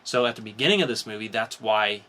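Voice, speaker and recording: A man's voice: lowish voice, quick pace, sharp tone. A man hosting a podcast, talking casually about geek news in an informal, explanatory way with some swearing. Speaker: podcast host.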